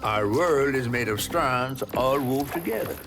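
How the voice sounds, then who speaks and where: A man's voice: mid-range voice, lively intonation, a little slow, music in the background. A man voicing a fussy, genteel talking creature from a video game, with a folksy, theatrical Southern American accent. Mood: folksy, instructive. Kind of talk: video game character dialogue.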